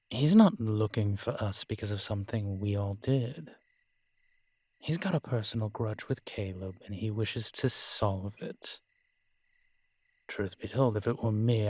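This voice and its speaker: A man playing a world-weary, morose male vampire in a roleplay audio drama, speaking in a measured, formal, brooding manner with sighs and pauses. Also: fairly low pitch, darkish tone, husky, no echo. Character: weary old male vampire, morose realist, formerly cruel.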